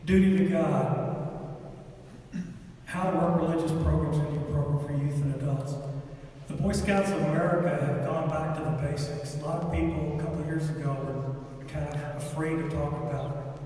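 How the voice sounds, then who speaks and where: A man's voice: on the high side, steady tone, normal speed, warm tone, slight background noise. A man giving a scouting ministry slide presentation to a church men's group, talking in a loose way with many fillers, saying he is losing his voice. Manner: rambling, filler-heavy presenting. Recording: echoey hall, mic far off.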